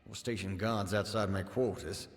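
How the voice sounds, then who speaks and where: An actor playing a proud, commanding army officer in a fantasy video game, with an authoritative, blustering manner. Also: male voice, fairly low pitch, fairly even intonation, normal speed, natural voice. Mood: gruffly reflective. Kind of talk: video game character acting. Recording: long reverb, music behind.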